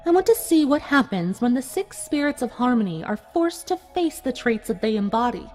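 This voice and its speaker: Woman playing smug unicorn villainess, high voice, animated swings, bitter and menacing, cartoon fan drama, faint music behind. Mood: cold, taunting. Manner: menacing, theatrical, deliberate. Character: cold, vengeful adult female unicorn villain, smug and bitter. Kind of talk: dramatic fan fiction audio reading.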